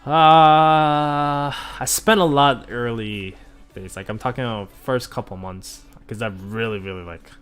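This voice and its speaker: A man's voice: mid-range voice, a little slow, clear, resonant tone, soft background music. A man streaming a game, chatting casually with viewers, rambling with many fillers and self-corrections. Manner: relaxed, opinionated about game units.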